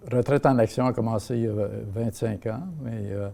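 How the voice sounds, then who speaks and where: An older man from Ottawa with a Franco-Ontarian French accent, speaking as an interview guest and pausing often with fillers and restarts. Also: medium pitch, steady tone, creaky, dark tone. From Ottawa, Canada. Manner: hesitant, many fillers and restarts. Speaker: guest, seniors' association president. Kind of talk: casual interview talk.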